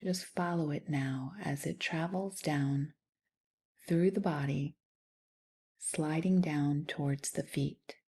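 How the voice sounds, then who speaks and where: Slow, breathy, low-voiced woman guiding meditation. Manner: soothing, soft, breathy, unhurried with long pauses. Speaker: guided meditation teacher. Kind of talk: guided body scan meditation.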